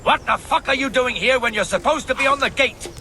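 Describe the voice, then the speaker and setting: A man's voice: very high voice, brisk, pushed and bright, a little hiss or hum, heavily acted. A man voicing a blunt, bossy town watchman in a medieval video game, with a chatty, hectoring manner. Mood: furious. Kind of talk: dramatic game cutscene dialogue.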